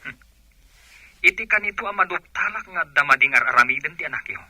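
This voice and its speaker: A man's voice: a little high, animated, fast talker, pushed and bright, husky, no room sound, full character voice. A man with an Ilocano Filipino accent acting a suspicious, jealous husband in a radio drama. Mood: stern.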